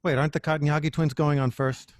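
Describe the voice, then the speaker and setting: A man's voice: a little high, level tone, fast, no room sound, studio quality, lightly acted. A man playing an anxious, defeatist young band frontman in a table read, with a nervous manner. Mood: panicky.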